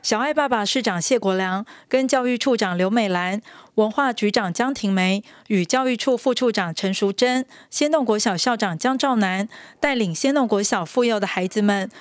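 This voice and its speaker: A woman's voice: medium pitch, normal speed, dry recording, studio quality. A woman with a Taiwanese Mandarin accent narrating a scripted news report as a reporter.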